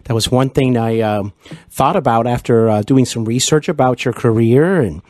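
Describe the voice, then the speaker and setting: A man hosting a casual interview podcast, speaking in an effusive, complimentary, folksy way with halting, deliberate phrasing. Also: medium pitch, a little mellow, no echo, studio quality. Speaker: podcast host interviewing a guest.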